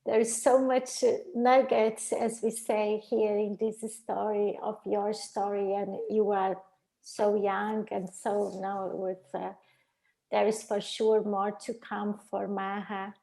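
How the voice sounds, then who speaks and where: A woman co-hosting a podcast interview, speaking haltingly and searching for words. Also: mid-range voice, little pitch movement, creaky, dark tone, low-quality recording. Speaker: podcast co-host. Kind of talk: casual interview chat.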